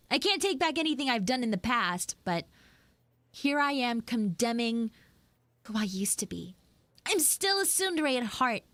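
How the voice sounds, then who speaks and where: A woman voicing a flustered, grudging tsundere frog girl in a live audio roleplay, speaking casually with halting stammers. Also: mid-range voice, a bit bright, dry recording. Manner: halting, stammering, drawn-out delivery.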